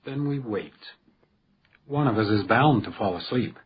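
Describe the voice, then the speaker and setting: A shrewd, wry house detective. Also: male voice, lowish voice, animated, a little slow, dull audio, slight background noise. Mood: tense, wry. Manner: precise, pointed, dryly wry. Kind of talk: radio crime drama acting.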